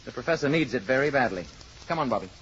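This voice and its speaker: Man playing a calm stranger on noisy old radio. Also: mid-range voice, muffled recording, lightly acted. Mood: calm, assured. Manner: measured, polite, quietly assured. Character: calm, courteous, mysterious stranger, adult man. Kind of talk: 1950s radio drama acting.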